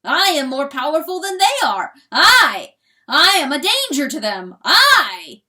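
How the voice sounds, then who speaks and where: Woman voicing a booming, proud rooster. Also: big pitch swings, unhurried, exaggerated voice. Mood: raging proud. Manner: loud, proclaiming, contemptuous. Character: proud cold raging male rooster.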